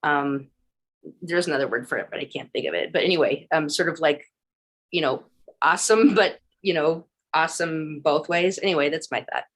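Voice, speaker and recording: A woman's voice: deep voice, moderate pace. A woman chatting casually as a class participant on a video call, hesitant and loosely phrasing her thoughts. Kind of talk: casual chat.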